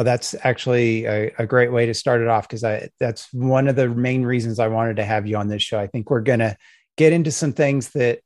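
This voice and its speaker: A man's voice: mid-range voice, a little mellow, no echo. Man hosting a podcast, dry sound. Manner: measured, asks structured questions. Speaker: podcast host.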